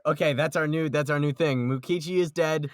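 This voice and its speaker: A man's voice: fairly high pitch, fairly even intonation, brisk, dry recording, clean studio sound. A man hosting a podcast in casual group chat, rambling, joking and ready to argue. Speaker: podcast host. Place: close voice chat recording.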